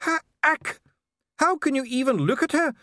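A shrill, frantic dwarf zealot whose voice cracks and strains at the top of its range, as a male reader puts it on. The delivery swings wildly in pitch and stays loud throughout. It sounds close and dry. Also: expressive, normal speed, no echo, full character voice. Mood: ranting. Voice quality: shrill, strained, near sobbing.

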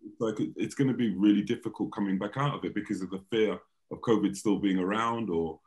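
A man's voice: on the low side, fast talker, a little mellow. A man, a DJ and guest in a casual video-call chat, who speaks hesitantly and laughs easily.

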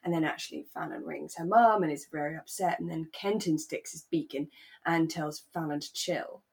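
Woman, husky, slightly low pitch, relaxed podcast chat, conversational, thinking aloud. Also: moderate pace. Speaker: podcast co-host. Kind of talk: relaxed chat discussing a radio drama.

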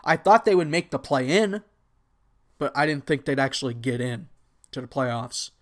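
A man's voice: mid-range voice, lively intonation, moderate pace. A young man in his twenties with a Texan accent, hosting an unscripted solo podcast, rambling casually and correcting himself as he goes. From United States. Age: 20s. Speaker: podcast host.

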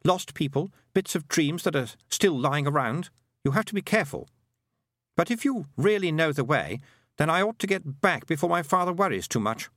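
A man voicing a haughty yet anxious twelve-year-old lost boy in a storybook, emphatic and excitable. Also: on the high side, moderate pace, no echo, studio quality, pitched above the performer's own voice. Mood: wary, explaining.

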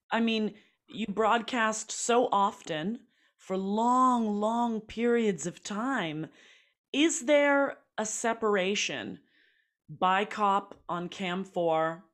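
A woman interviewing a guest, asking open, probing questions about his life. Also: mid-range voice.

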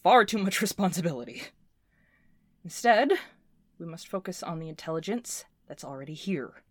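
A woman playing a scrappy, intense inventor recording a personal log in an audio drama, talking in a halting, volatile way. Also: fairly low pitch, lively intonation, dry recording. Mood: determined. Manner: swearing, halting, swinging from muttering to fervent.

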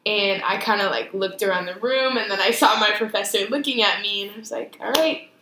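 A young woman co-hosting a casual podcast, talking thoughtfully and measured, often pausing to rephrase. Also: medium pitch, pushed and bright. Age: young adult. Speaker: podcast co-host. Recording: echoey room with faint noise.

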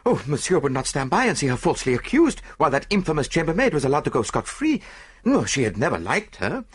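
Reader voicing a frantic maid, high pitch, rapid. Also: male voice, very animated, husky. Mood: virulent. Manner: rapid, virulent, pleading. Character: excitable young French lady's maid.

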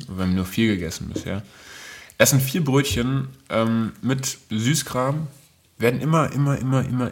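A man hosting a podcast in casual, comedic chat, riffing playfully in a rambling way. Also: medium pitch. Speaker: podcast host.

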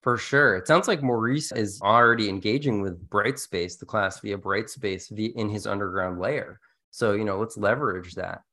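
A man with a fairly low voice co-hosts a conversational podcast. He talks informally, explaining ideas and dropping in casual, joking asides. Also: normal speed, pushed and bright. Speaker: podcast co-host. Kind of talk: conversational podcast discussion of teaching tips.

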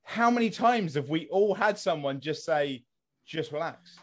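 A man from England on a podcast, criticising institutions in an animated, restarting way. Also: a little high, unhurried. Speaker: podcast co-host. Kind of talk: casual podcast discussion.

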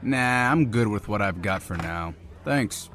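A man's voice: lowish voice, expressive, measured pace, a bit bright. A man playing a blunt, teasing young best friend in an audio drama, sarcastic in manner. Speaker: voice actor.

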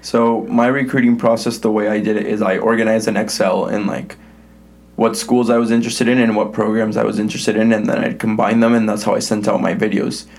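A young male host with a lowish voice chats casually with his guest. He grew up speaking English and Spanish together. His talk is loose and filler-heavy, with plenty of "like" and quick restarts. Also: flat delivery, vocal fry, darkish tone. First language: English and Spanish both. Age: young adult. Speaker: podcast host, college soccer player. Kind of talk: casual interview chat.

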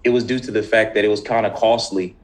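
A man's voice: lowish voice, steady tone, quick pace. A young man with an American accent, a student guest talking casually in a podcast interview. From United States, New Jersey. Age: young adult.

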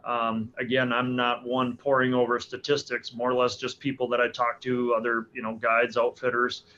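A man's voice: medium pitch, completely flat delivery, normal speed, sharp tone, slight background noise. A man in his forties, a hunting guide, answering interview questions casually with frequent restarts and fillers. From Alaska. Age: 40s. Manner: hesitant, many restarts and fillers. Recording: dull lo-fi sound.

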